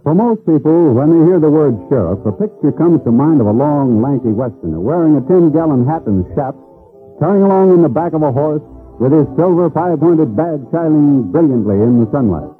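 A man's voice: a little high, lively intonation, a little fast, faint music behind. A man announcing a scripted promo in the polished style of 1940s radio. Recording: thin, phone-like old radio broadcast.

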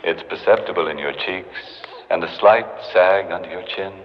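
An actor playing a suave, mocking spirit of aging in an old radio drama, with deliberate, needling politeness. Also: male voice, deep voice, lightly acted. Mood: coaxing. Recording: dull old radio recording, hiss, long reverb.

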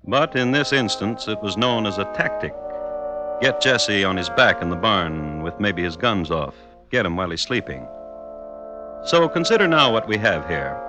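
A man narrates a classic radio drama in an American accent. His voice is fairly low yet very animated in pitch, with deliberate, wry formality and light music underneath. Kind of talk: old-time radio scripted narration.

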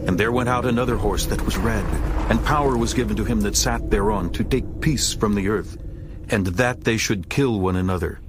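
Low-voiced solemn male scripture narrator. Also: noisy background. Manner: solemn, measured storytelling. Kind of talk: dramatized scripture audiobook narration. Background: music.

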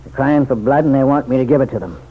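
Tinny old-radio actor as a weary, guarded sheriff. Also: male voice, medium pitch, fast, a little hiss or hum, lightly acted. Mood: irritated, defensive. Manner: gruff, laconic, folksy. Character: weary, guarded small-town sheriff, dryly skeptical. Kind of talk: old-time radio drama acting. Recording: tinny, narrow vintage radio recording.

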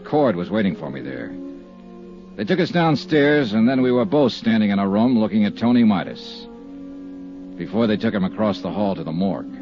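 A man's voice: lowish voice, expressive, normal speed, over music. An actor playing a hard-boiled male insurance investigator who narrates his case in terse, dry 1950s radio drama style, on a dull lo-fi broadcast.

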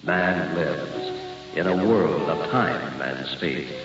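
A man playing a grave, ominous narrator of an old-time radio horror tale, solemn and measured. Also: a bass voice, creaky, heavily acted. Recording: muffled old radio, echoey, distant, loud music.

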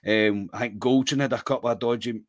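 A man's voice: mid-range voice, fairly even intonation, fairly quick, dry recording, studio quality. A man with a Scottish accent co-hosting a football podcast, chatting casually after a match, rambling a little and often calling his co-host mate.

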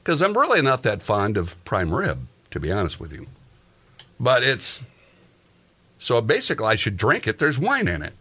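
Male podcast host, bright and animated, rambling comic chatter. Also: medium pitch, moderate pace, husky. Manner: joking, rambling, tells exaggerated everyday anecdotes. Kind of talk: casual comic chat.

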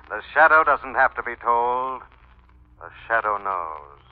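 An actor playing a mysterious invisible avenger in an old-time radio drama, taunting and stern, through a filtered, disembodied effect. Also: male voice, mid-range voice. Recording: tinny filtered old radio, music behind.

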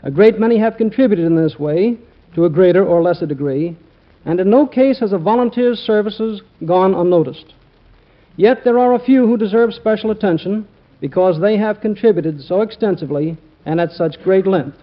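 High-pitched man reading a formal speech. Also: normal speed. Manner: formal, official. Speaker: government official presenting an award. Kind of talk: prepared formal speech, read out. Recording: muffled vintage radio broadcast.

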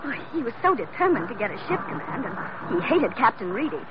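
An emotional, anxious woman in a vintage radio melodrama. She pleads breathlessly, her voice swooping up and down. The sound is muffled with clear background noise. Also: fairly high pitch, sing-song, fast. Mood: dismayed, pleading. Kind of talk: 1940s radio melodrama acting. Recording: muffled, hissy old radio recording.

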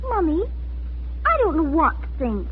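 A woman's voice: extremely high pitch, wildly swooping pitch, moderate pace, exaggerated voice. Earnest eleven-year-old girl, piping childlike voice, vintage radio drama, scratchy thin sound. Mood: troubled, confused. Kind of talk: 1940s radio acting. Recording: scratchy, tinny 1940s radio recording.

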